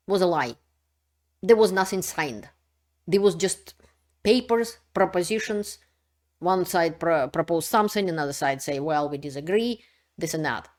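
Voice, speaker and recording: A woman's voice: fairly low pitch, a little mellow. A woman giving earnest, insistent political commentary in non-native English, with frequent restarts. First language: not Ukrainian. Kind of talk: unscripted commentary to camera.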